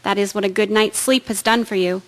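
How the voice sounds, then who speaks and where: Woman acting female army nurse, gentle, devoted, composed, formal, medium pitch, wartime radio play. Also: steady tone, lightly acted. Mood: kindly, encouraging. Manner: formal, soothing, measured.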